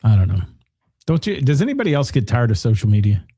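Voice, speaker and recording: A man's voice: medium pitch, sing-song, fast, dark tone, slightly rough, dry recording, studio quality. A man co-hosting a radio talk show, chatting casually and reading listener comments, joking and rambling while losing his voice.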